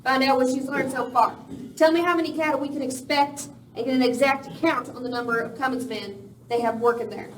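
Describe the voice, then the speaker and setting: Woman acting a high-voiced, ruthless outlaw boss lady. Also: steady tone, brisk, close to an everyday voice. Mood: stern, commanding. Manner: commanding, threatening, curt. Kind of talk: Western radio play acting. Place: live performance in a village venue. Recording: echoey hall, distant mic, faint noise.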